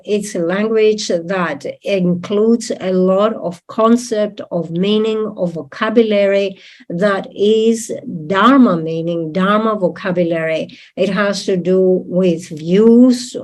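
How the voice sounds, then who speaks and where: A woman whose first language is not English, interpreting a Buddhist teaching in a deliberate manner and checking in with listeners. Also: mid-range voice, a little mellow. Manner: deliberate, with tag questions to listeners.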